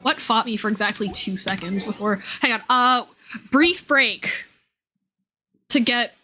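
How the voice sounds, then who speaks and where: A woman's voice: a little high, measured pace, pushed and bright, faint music behind. A woman chatting casually and playfully on a live game stream, with informal, slangy speech. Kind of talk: casual live stream chat over gameplay.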